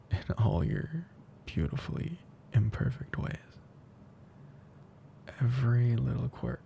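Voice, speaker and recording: A man playing a tender, teasing best friend confessing love in an intimate ASMR roleplay, speaking softly and slowly with breathy drifts into whispering. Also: fairly low pitch, slight background noise, exaggerated voice. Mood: tender, earnest.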